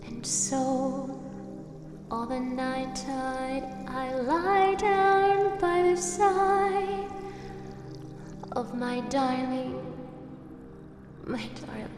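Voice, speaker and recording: Female singer, high voice, drawn-out lullaby delivery of a poem, swimming in long reverb. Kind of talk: slow lullaby-like singing of a poem. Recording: long echoing reverb over music. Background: music.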